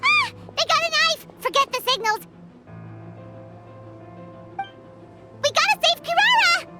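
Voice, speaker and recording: A woman voicing a tiny, excitable, childlike fairy companion in a video game, speaking in exclamations. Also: very high-pitched, fairly quick, exaggerated voice. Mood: alarmed, urgent. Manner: refers to self by name, exclamatory. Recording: loud game music behind.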